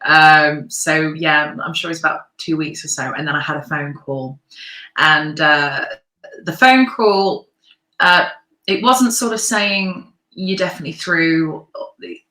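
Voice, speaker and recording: A deep-voiced English woman talks freely as an interview guest, her tone bright and forceful despite the low register. She laughs easily and restarts her sentences as she goes. The recording carries a noticeable roomy echo. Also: measured pace. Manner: chatty, laughs often, many restarts. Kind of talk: conversational interview.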